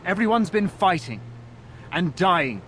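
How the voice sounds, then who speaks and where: A man playing a frightened, humble young villager in a video game, speaking in a halting, deferential, earnest way. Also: high-pitched, sing-song, a bit bright, a little hiss or hum, exaggerated voice. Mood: anxious, despairing.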